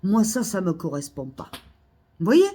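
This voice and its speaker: A woman with a cold, coughing now and then, ranting indignantly to her followers, repeating herself and rising to loud outbursts. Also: lowish voice, very animated, normal speed, husky. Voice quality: hesitant restarts and fillers. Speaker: vlogger. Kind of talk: ranting monologue to camera. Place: Almeria, Spain.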